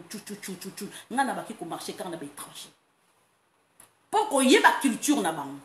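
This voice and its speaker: Animated woman in an echoey room. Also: medium pitch. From France. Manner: animated, laughing, breaking into playful chants. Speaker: online talk host. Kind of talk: casual monologue, mixing Lingala and French. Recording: roomy echo, faint background noise.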